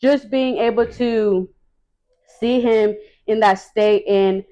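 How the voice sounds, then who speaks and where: A woman around thirty chatting casually in a couple's Q&A video, soft-spoken and reflective. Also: on the high side, fairly even intonation, slow, lo-fi sound. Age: 30s. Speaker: vlogger, expecting mother.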